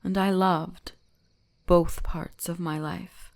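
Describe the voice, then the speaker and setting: A woman voicing a teasing, gently dominant kitsune shrine maiden in an intimate ASMR roleplay, often slipping into whispers. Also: on the low side, level tone, takes it slowly, gravelly, no echo. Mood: gentle, possessive.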